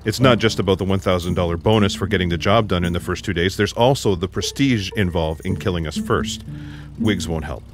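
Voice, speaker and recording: A man acting an unflappable, eccentric ex-spy in a sci-fi comedy audio drama, earnest and literal in a deadpan way. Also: low-pitched, brisk, soft background music, lightly acted. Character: unflappable, eccentric male ex-spy fixated on odd clues.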